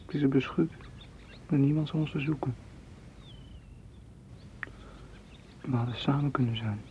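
A man's voice: medium pitch, fast, warm tone, natural voice. An actor playing a possessive, wooing man in a radio drama, pressing and reproachful in manner. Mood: hurt. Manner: insistent, reproachful. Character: possessive, wooing suitor seeking warmth and closeness. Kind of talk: literary radio drama acting. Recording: dull, noisy radio play recording.

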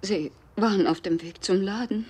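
A woman acting a desperate, frightened widow in a German radio drama, breathy and halting, often dropping into intense whispers. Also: mid-range voice, darkish tone, slight background noise, close to an everyday voice. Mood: guarded, hushed. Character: desperate, frightened widow fleeing after a death.

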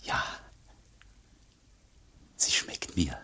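An actor in a German radio play, a man playing a humble, earnest elderly monk who speaks in a hushed, very husky voice. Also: drawn-out words, in a whisper. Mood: quiet, moved. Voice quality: very husky, breathy.

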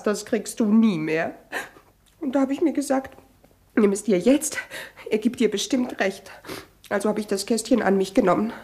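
An actress playing a frightened housekeeper confessing to a theft in a 1970s radio crime play. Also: female voice, on the high side, darkish tone, lightly acted. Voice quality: pleading, defensive. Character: frightened, tearful housekeeper caught stealing.

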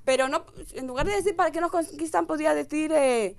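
A woman's voice: high voice, a little fast, vocal fry. A woman guest chatting playfully on a comedy panel, slipping into other accents and speaking Spanish as a second language. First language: not Spanish-first, speaks a home dialect. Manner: playful, switches accents, bursts into sing-song. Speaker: guest actress. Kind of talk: casual panel chat.

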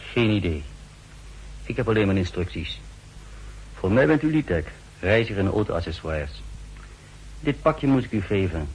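A lowish-pitched man as a terse secret-service courier in an old radio play. He gives instructions in a clipped, businesslike way, over faint hum. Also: sing-song, moderate pace, long pauses, low-quality recording. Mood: cool, instructing. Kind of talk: 1960s Dutch radio drama acting.

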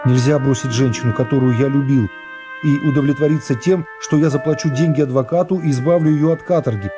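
A man's voice: a little high, close to an everyday voice. A man voicing a remorseful nobleman in a radio serial, agonizing over his guilt in an emphatic inner monologue. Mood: troubled. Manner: anguished, emphatic interior monologue.

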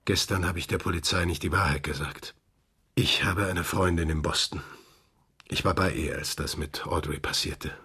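A middle-aged father in a radio play, troubled but tender with his child. His voice is deep and strongly breathy, with a rough husk to it. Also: steady tone, moderate pace, pushed and bright, heavily acted. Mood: subdued, serious.

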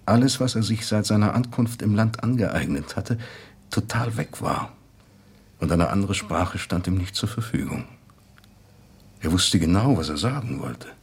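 A man playing a thoughtful acquaintance recalling a late friend in a measured, articulate radio drama monologue. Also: deep voice, breathy edge, heavily acted.

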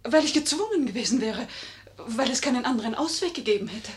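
A woman playing a charming, wily lady in a vintage 1950s radio drama. Her voice is fairly high and emotive, with drawn-out theatrical emphasis as she pleads and flatters. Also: lightly acted. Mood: fearful. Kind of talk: 1950s German radio play acting.

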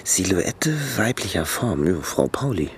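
Secretive reporter, deep, lively, terse dictation, radio play. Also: male voice, unhurried, slight background noise. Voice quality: low, often whispered. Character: nosy small-town male reporter, secretive. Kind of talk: radio drama acting. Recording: radio play with loud music.

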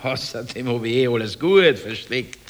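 A man's voice: mid-range voice, expressive, moderate pace, lightly acted. An actor playing a middle-aged Austrian innkeeper and father, proud, defiant and indignant, in a radio play. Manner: blustering, indignant. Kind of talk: 1960s radio play acting. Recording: noisy, clicking old tape.

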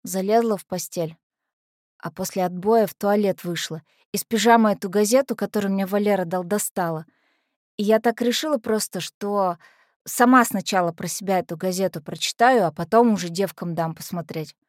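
A woman acts out a brash teenage girl from an orphanage, hopelessly in love. She tells her story as a stage-style monologue, slangy and confiding, with naive openness. The voice sits at a middle pitch and the recording is close and dry. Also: moderate pace, a little mellow, no echo, close to an everyday voice. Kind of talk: dramatic first-person monologue.

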